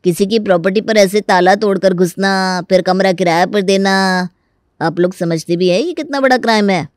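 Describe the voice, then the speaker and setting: A man's voice: high voice, normal speed, smooth, no echo, studio quality, natural voice. An actor playing a stubborn, crafty young village man who rents a room, folksy and mock-polite as he argues in a comic story. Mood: firm, threatening. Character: stubborn, crafty young rural male tenant with cattle. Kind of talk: Hindi comic story dramatization.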